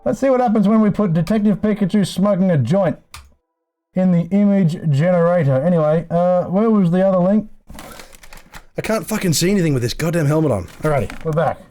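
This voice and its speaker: A man's voice: sits high, expressive, brisk, dark tone. A man hosting a casual livestream, chatting in a laid-back, sweary way and addressing viewers as mates. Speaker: live streamer host. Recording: music playing in the background. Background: background music.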